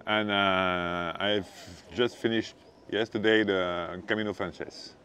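A man from Belgium, a pilgrim, searching for words in slow, broken phrases outdoors on a lapel mic. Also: low-pitched, fairly even intonation, bright, forceful tone, a little hiss or hum. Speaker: interviewee, pilgrim. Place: outdoors sitting by a stone wall.

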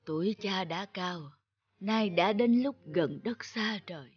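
A woman's voice: mid-range voice, unhurried, husky, highs cut off. Woman voicing solemn dying old father. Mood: weary, solemn. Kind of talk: cartoon fairy-tale dubbing.

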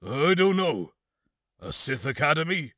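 A man's voice: a little high, very animated, measured pace, pushed and bright, dry recording, clean studio sound, heavily acted. A man voicing a tipsy, loose-lipped one-armed alien smuggler in an audiobook, with a casual, hesitant, slangy manner. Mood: hazy, compliant. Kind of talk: audiobook character dialogue.

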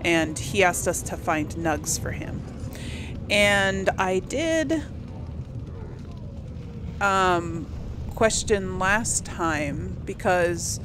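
Brief: woman relaxed let's-play host, rambling and friendly-casual, plays under music. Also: lowish voice. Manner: chatty, thinks aloud, voices the player character. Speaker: gameplay host. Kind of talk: casual gameplay commentary. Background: game music.

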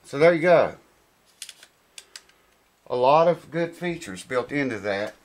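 A man's voice: medium pitch, expressive, slow. Middle-aged man thinking aloud, informal. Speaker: product reviewer. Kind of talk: casual hands-on review to camera. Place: small cluttered indoor workshop room.

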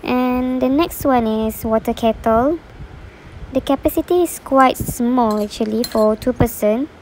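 A woman talks over a product unboxing in a relaxed, plain way, naming each item as she goes. Her voice sits a little high. There is a faint hiss of room noise behind her. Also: poor audio. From Malaysia. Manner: plain, unhurried, describing items one by one. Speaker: unboxing reviewer. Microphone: voice-over, speaker not seen.

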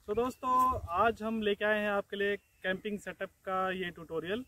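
A man in his thirties from India, a camping vlogger who chats casually while showing each step of pitching a tent on an open hillside. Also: normal speed, sharp tone. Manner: chatty, repeats "so doston", shows each step. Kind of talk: casual how-to vlog. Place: open hillside meadow outdoors.

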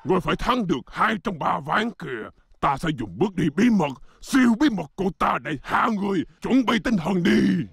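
A man voicing a boastful, cheating dragon monster in a cartoon dub, gravelly and blustering. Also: sits high, big pitch swings, brisk, few pauses, fairly bright tone, no room sound, studio quality, full character voice. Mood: menacing, boastful. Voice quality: gravelly, creaky. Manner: blustering, theatrical, indignant. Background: background music.

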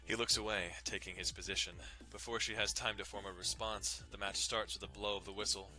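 A man's voice: on the low side, flat delivery, fairly quick, pushed and bright, faint music behind, poor audio. A man giving a dramatic scripted narration of a visual novel. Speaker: narrator doing dramatic readings.

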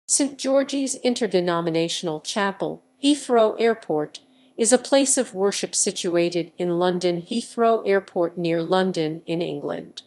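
Even synthetic woman reading an article. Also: lowish voice, unhurried. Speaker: narrator. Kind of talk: text-to-speech article reading.

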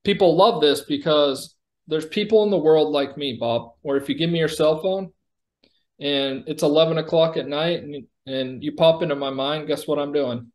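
A man giving a conversational product demo over a video call, explaining like a company executive presenting software. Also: a little high, normal speed.

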